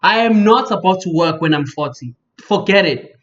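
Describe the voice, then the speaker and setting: Man, 30s, South African accent, podcast host, emphatic and animated, higher voice, dull lo-fi sound. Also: normal speed. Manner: animated, emphatic, repeats himself for stress. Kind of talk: casual interview chat.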